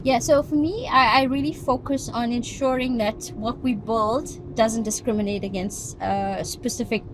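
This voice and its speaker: Middle-aged South African woman, somewhat high voice. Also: fairly even intonation. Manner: thoughtful, laughs often, slows to stress points. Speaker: guest, AI ethics expert. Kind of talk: casual interview talk. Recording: background noise.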